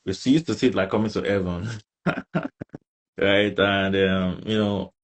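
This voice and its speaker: A man's voice: sits low, fairly even intonation, measured pace. A young man with a Nigerian accent, chatting casually as an interview guest and repeating words for emphasis. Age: young adult. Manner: emphatic repetition, frequent tags like "right" and "you know". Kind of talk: casual chat.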